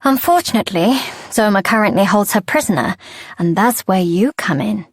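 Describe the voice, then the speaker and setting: An actress voicing a helpful, candid fairy guide in a video game, chatty and conversational. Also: female voice, medium pitch, lively intonation, normal speed, slightly rough, dry recording, clean studio sound. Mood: earnest, instructive. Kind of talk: scripted video game voice acting.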